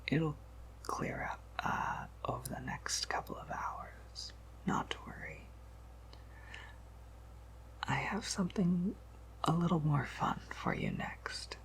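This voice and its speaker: A man voicing a gentle, wry vampire apothecary in a breathy ASMR whisper, musing softly and reassuringly. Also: a little high, huge pitch swings, takes it slowly, long pauses, full character voice. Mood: mildly apologetic. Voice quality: breathy whisper with creaky edges. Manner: gentle, reassuring, hesitant musing with soft hums. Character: soft-spoken, patient, wry male vampire apothecary, collector of oddities.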